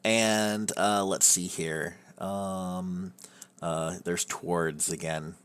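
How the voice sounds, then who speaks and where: A man's voice: deep voice, fairly even intonation, very slow, fairly bright tone, smooth, dry recording. A man giving casual, conversational feedback as director of a voice recording session.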